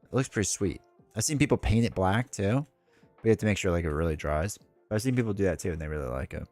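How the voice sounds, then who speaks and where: Rambling stuffed-up man with a lively voice. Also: low voice, quick pace, soft background music. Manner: rambling, chatty, full of asides and fillers. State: stuffed up with a cold. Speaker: streamer playing a solo board game live. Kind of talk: casual live-stream chat.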